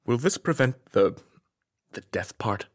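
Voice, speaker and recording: An actor playing a vain, pompous knight who is easily indignant and speaks in grandiose mock-archaic language in a comedic audio drama. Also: male voice, mid-range voice, animated, measured pace. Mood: embarrassed, sheepish.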